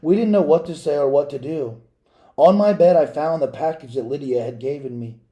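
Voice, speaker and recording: A man reading a children's picture book aloud as a storyteller, in a measured, dramatic way with pauses and asides to listeners. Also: on the high side, mellow.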